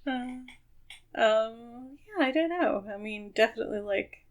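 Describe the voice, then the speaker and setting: A woman's voice: a little high, expressive, measured pace, highs cut off. A woman co-hosting a podcast, chatting casually about a book. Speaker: podcast co-host.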